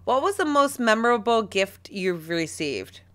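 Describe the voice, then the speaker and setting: A woman in her thirties co-hosting a casual podcast, chatty, teasing and animated. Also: on the high side, unhurried, slight background noise. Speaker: podcast co-host. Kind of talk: casual chat.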